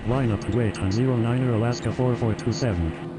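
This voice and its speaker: A man's voice: medium pitch, fairly even intonation, a little fast, background noise, lightly acted. A man playing a businesslike airline pilot giving formal, procedural radio calls in a game.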